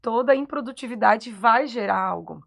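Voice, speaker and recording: Gravelly-voiced Brazilian woman, reflective. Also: fairly high pitch, moderate pace. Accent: Brazilian Portuguese. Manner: reflective, affirming with frequent "uhum". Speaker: podcast co-host, psychologist. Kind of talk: casual conversation.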